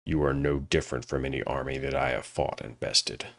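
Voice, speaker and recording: Man as a defiant Norse war chief, extremely low voice, level tone, terse scorn, fantasy audio drama. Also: steady tone, a little fast, creaky, fairly bright tone, heavily acted. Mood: grim defiance.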